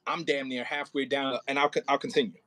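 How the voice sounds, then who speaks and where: Male audio play director, mid-pitched voice, casual and informal, coaching an actor through line delivery. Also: steady tone, brisk, fairly bright tone, no echo. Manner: informal, demonstrates lines by acting them out.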